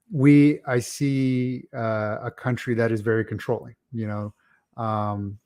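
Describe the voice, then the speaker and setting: A man with a mid-pitched voice hosts a casual interview. He asks long, rambling questions and keeps affirming the guest with frequent yeahs and okays. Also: darkish tone. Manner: affirming, lots of "yeah" and "okay", rambling questions. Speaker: podcast host.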